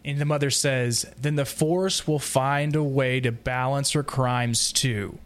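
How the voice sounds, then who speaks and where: A man's voice: medium pitch, fairly even intonation, a little slow. A man talking casually as a panelist in a podcast roundtable discussion.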